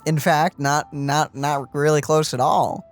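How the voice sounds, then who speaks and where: A man's voice: a little high, steady tone, measured pace. A man doing casual let's play commentary as a streamer, joking and rambling as he goes. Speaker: gameplay streamer. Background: music.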